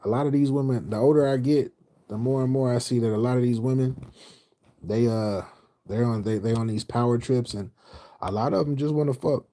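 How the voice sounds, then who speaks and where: A man's voice: medium pitch, a little fast, mellow. A middle-aged man from Houston, casually chatting on a late-night livestream and reading comments. He talks loosely in slang, repeating his phrases and trailing off mid-thought. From Houston, Texas. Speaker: livestream host reading chat.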